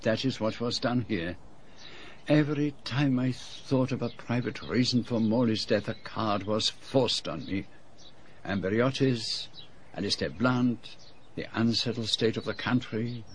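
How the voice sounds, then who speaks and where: An actor in a radio drama playing a shrewd, grave foreign detective who speaks formally and deliberately. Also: male voice, medium pitch, slight background noise, natural voice. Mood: explanatory, reflective. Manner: formal, deliberate phrasing without contractions.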